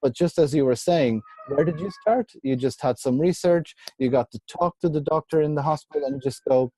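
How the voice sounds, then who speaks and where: A man from Portugal with a warm, mellow voice hosts a relaxed chat. He laughs often and stumbles over repeated words. The sound is dry and close, with no room echo. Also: fairly high pitch, fairly quick. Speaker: podcast host. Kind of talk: casual interview chat.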